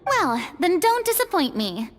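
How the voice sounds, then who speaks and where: A woman's voice: very high voice, sing-song, measured pace, heavily acted. A woman voicing a flamboyant, self-assured young noblewoman in a video game, speaking in a grandiose, theatrical manner. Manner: grandiose, theatrical, laughing delivery. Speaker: patron of a theater troupe. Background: game score music.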